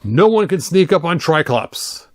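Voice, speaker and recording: A man's voice: fairly high pitch, big pitch swings, unhurried, dry recording, pitched above the performer's own voice. A man voicing a boastful, scheming undead villain from a storybook in a grandiose, declaiming manner.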